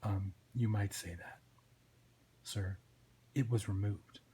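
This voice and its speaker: A man voicing a meek, polite police sidekick from a comic book in a quiet, flat, deferential way. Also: lowish voice, slow, slight background noise, pitched below the performer's own voice.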